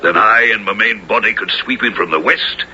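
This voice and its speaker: An actor playing a commanding, shrewd and magnanimous duke in a radio drama, with lordly, archaic, declamatory delivery. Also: male voice, fairly low pitch, normal speed, bright, forceful tone. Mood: resolute, commanding. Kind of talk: 1970s radio drama acting. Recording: noisy, muffled old radio broadcast.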